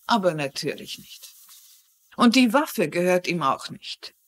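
An actress playing a shrewd, polite and persistent middle-aged crime writer in a dubbed TV mystery. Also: female voice, lowish voice, big pitch swings, unhurried. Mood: concerned, reasoning. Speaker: amateur sleuth.

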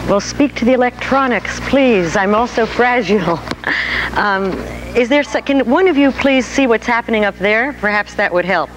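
A woman's voice: on the high side, normal speed, background noise. A middle-aged woman interviewing a guest on an auditorium stage, asking questions in a poised, wry, direct way. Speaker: interviewer and television host. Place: auditorium stage before an audience.

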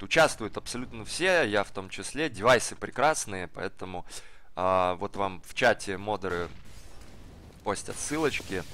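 A hoarse man commentating a live online game match in a casual, slangy way, speeding up during the action. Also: fairly low pitch, pushed and bright, slight background noise. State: hoarse from the cold. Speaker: esports commentator. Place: cold flat at home.

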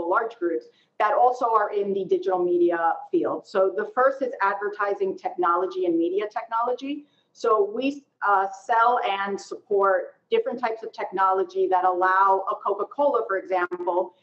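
A woman in her forties gives a conversational professional explanation as a panelist. Her pitch is average, and the video-call sound from her home room is slightly dull. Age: 40s. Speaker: panelist, research executive.

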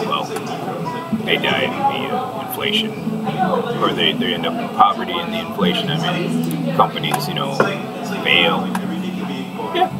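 A middle-aged man giving rambling, halting commentary as he reads out an article, sardonic and mock-preachy. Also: on the low side. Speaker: online commentator. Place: indoor room, seen on webcam. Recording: distant mic under loud music.

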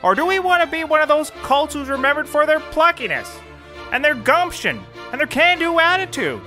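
An actor in a scripted comedy playing a brash, hapless private detective who rambles and corrects himself. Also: high voice. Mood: rousing. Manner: rambling, self-correcting, emphatic. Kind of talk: scripted audio comedy acting.

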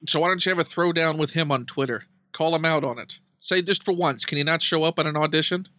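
A man's voice: a little high, quick pace, sharp tone, slight background noise. A man chatting casually as an interviewer, bantering with dry, wry humor.

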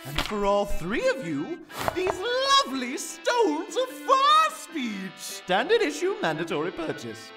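Sinister, showy warlock merchant creature for a comic dub; high, extremely animated, drawn-out sales patter. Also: female voice, full character voice. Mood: showy salesmanship. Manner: grandiose, drawn-out showman delivery. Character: theatrical sinister shop-keeping warlock creature, sly salesman. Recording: distant voice under loud music.

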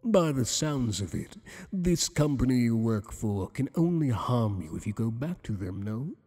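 A man playing a flirtatious, possessive tentacled alien boss in an ASMR roleplay, speaking in a teasing, courtly, deliberate way with long echoing reverb. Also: medium pitch, big pitch swings, mellow, husky, heavily acted. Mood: seductive, coaxing.

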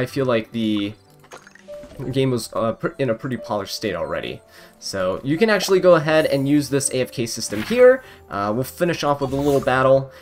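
A man commentates casually over game music. His pitch moves around a lot, and he reacts aloud in an upbeat, chatty way. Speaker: gaming content creator. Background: video game music.

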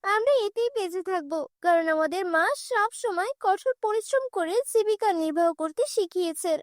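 Woman as a high-voiced, cautious elder sister. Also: moderate pace, no room sound, clean studio sound. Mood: earnest. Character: cautious, humble orphan girl, protective elder sister.

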